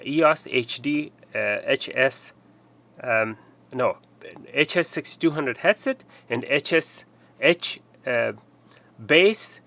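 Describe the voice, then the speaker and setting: A man's voice: mid-range voice, gravelly, bright, forceful tone. A man presenting an unscripted technical tutorial, explaining step by step in a somewhat hesitant way.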